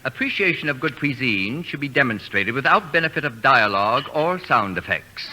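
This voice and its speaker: An actor playing a pompous, fastidious man who orders students around in formal, precise diction, in 1940s radio comedy style. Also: medium pitch, animated, natural voice. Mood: imperious. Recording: noisy vintage radio broadcast with clicks.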